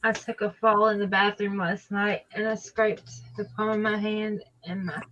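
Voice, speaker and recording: A woman in her forties chats on a live stream with an ordinary mid-pitched voice. She is easygoing and shrugs things off in a friendly, relaxed way. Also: normal speed, dull audio. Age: 40s. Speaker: guest. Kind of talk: casual chat.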